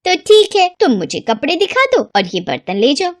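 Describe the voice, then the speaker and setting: A woman voicing a wily female street hawker with a coaxing, drawn-out sales manner in a cartoon story. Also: very high voice, very animated, no echo, studio quality, voice pushed up, full character voice. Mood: bargaining. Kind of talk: Hindi moral story cartoon acting.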